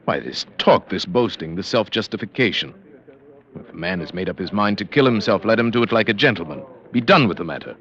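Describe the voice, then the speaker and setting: An actor playing a haughty, self-possessed aristocrat with clipped, formal, commanding speech in a vintage radio drama. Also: male voice, on the low side, expressive, fairly quick, a bit bright. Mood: disdainful. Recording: dull, lo-fi vintage radio recording.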